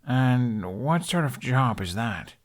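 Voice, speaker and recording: Male narrator doing skeptical teen boy, sarcastic, hesitant, self-deprecating, lively pitch swings, audiobook character. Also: slow. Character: skeptical, sarcastic teenage Viking boy, wary and awkward.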